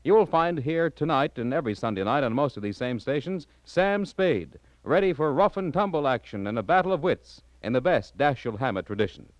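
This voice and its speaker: A man delivers scripted promotion as a 1940s network radio announcer. His pitch swings widely, with polished, emphatic phrasing. The sound is close and dry. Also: lively intonation, brisk, hardly any pauses, no room sound, dull audio.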